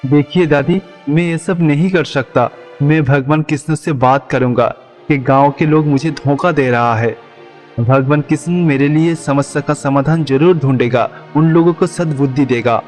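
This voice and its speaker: A man's voice: on the high side, moderate pace, darkish tone, close to an everyday voice. An actor playing a meek, devout and naive village milkman, speaking politely and earnestly in a cartoon story. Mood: earnest trust. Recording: long reverb, music behind.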